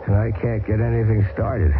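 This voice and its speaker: An actor as a wisecracking pilot on an old radio play. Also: male voice, lowish voice, flat delivery, normal speed, natural voice. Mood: wry. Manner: wry, joking under strain. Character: wisecracking male American bush pilot, easygoing. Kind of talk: 1970s radio drama acting. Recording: tinny lo-fi old radio broadcast, hissy.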